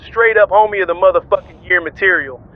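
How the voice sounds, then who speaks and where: A man's voice: high voice, fast, close to an everyday voice. A man playing a swaggering, sentimental pirate radio DJ who talks in melodramatic street slang. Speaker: radio DJ host. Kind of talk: slangy improvised-sounding radio monologue. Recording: phone-like radio band, music behind. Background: music and vehicle noise.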